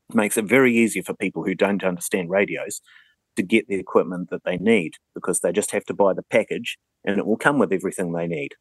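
A man with a New Zealand accent and a distinctly low voice. He explains things conversationally as an interview guest, practical and methodical. The sound is dry. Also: dry recording. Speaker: interview guest, radio communications advocate.

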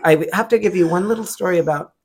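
Male podcast co-host, middle-aged, husky, animated and campy. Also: high-pitched, fast talker. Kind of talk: casual chat.

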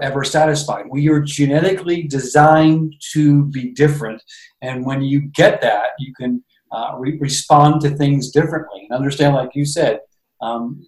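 A man's voice: a little high, a little mellow, reverberant, poor audio. A man hosting a casual talk show, easygoing and upbeat as he chats with his guest. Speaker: host.

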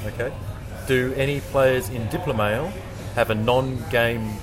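A man's voice: mid-range voice, steady tone, measured pace. A man co-hosting a casual podcast, reading out the questions, laughing easily and filling gaps with ums. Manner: chatty, laughs easily, lots of fillers. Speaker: podcast co-host asking the questions. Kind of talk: casual chat. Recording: music playing underneath.

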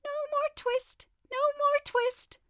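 A chorus of tiny, cheeky mouse tailors chants rhymes in a piping, sing-song lilt, all put on by a woman reading a storybook. The voices sit very high and drawn out. They sound merry and a little saucy. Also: measured pace, bright, forceful tone, heavily acted. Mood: defiant.

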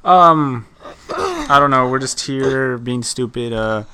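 A man hosting a casual home podcast, talking in a monotone with lots of fillers. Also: mid-range voice, slow, smooth, dry recording. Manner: monotone, rambling with many fillers. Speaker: podcast host. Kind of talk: casual chat. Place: home in San Antonio.

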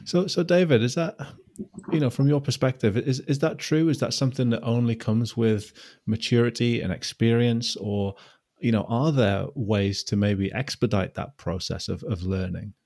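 A man's voice: medium pitch, moderate pace, a little mellow. A male host asking questions in a hesitant way, with lots of restarts and filler sounds. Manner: hesitant, many restarts and ums. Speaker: interviewer host. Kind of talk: interview questions.

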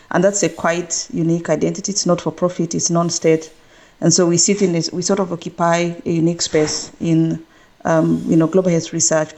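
A woman's voice: low-pitched, gravelly, a little mellow, highs cut off. A woman from Uganda with a Ugandan accent, speaking as a panel guest and introducing her work conversationally. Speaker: panel guest, research centre executive director.

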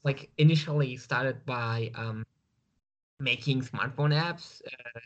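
A man's voice: medium pitch, takes it slowly, muffled recording. A man from Turkey with a Turkish accent, a guest speaking over a video call, hesitant and full of fillers.

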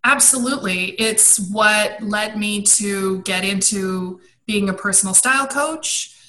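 Woman style coach on a podcast, bright voice, mid pitch, rambly and friendly. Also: a little slow, rough recording. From grew up abroad and in Maryland, US. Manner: chatty, thinks aloud with many ums. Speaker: guest, personal style coach. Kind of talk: casual interview answers. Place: home in Maryland.

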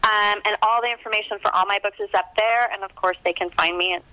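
A woman with a bright, forward voice speaks as an author being interviewed. She chats casually and keenly, with an enthusiastic, talkative manner. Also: medium pitch, steady tone, quick pace, dry recording, rough recording. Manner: chatty, enthusiastic about books. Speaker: guest author.

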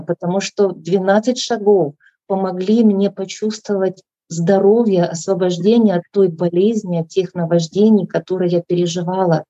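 A woman sharing her recovery story at a meeting over a video call, in a measured, reflective way. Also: medium pitch, warm tone, no echo. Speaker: meeting speaker. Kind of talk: personal recovery share. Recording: dull lo-fi video call audio.

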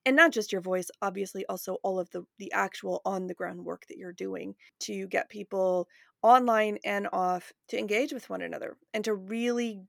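A woman's voice: medium pitch, gravelly, dry recording, studio quality. A woman hosting a casual podcast conversation, speaking in a reflective, sincere way. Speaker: podcast host.

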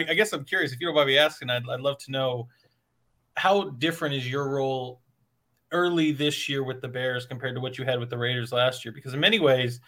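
A man's voice: mid-range voice, a bit bright. A man with a mid-range voice asks a guest a long, rambling question, piling on clauses and asides. He sounds chatty and casual. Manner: long-winded, wordy questions. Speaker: podcast co-host. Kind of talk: casual talk show hosting.

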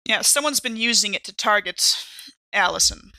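Creaky, bright-voiced woman role-playing. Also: medium pitch, normal speed, no room sound, low-quality recording. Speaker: tabletop role-playing game player. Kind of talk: in-character improvised role-play, conversational.

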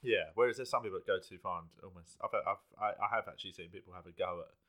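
A man's voice: low voice, quick pace, fairly bright tone. A middle-aged man with a South London accent, a comedian chatting casually on a podcast and thinking aloud with easy laughs. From South London, England. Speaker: guest comedian.

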